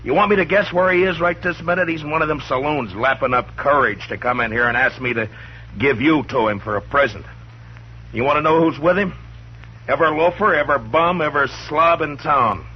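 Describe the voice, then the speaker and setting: An actor playing a stern, steady frontier marshal, firm and commanding, in a 1950s radio drama. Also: male voice, fairly high pitch, a little fast, close to an everyday voice. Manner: firm and commanding, with dry chuckles. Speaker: lead. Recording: muffled old radio broadcast, faint hiss.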